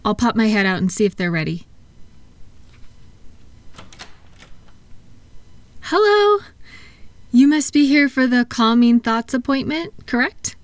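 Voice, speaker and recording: A woman playing a kind, unhurried attendant at a calming clinic, soft-spoken and reassuring in a relaxation roleplay. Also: on the high side, dry recording, close to an everyday voice. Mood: welcoming.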